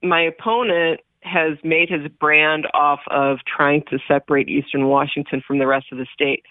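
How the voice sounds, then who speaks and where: A woman's voice: low voice, steady tone, normal speed, dry recording. A woman in her forties whose first language is Hebrew, speaking earnestly as a political candidate and guest, repeating phrases for emphasis. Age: 40s. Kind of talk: phone interview on radio. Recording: phone line.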